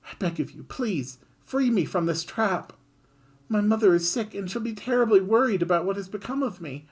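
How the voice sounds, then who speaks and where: A game player voicing a sly little mouse that begs in an overly polite, whiny way, pitched high above their own voice. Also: animated, moderate pace, slightly rough. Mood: pleading. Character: sly pleading mouse, secretly a griffin.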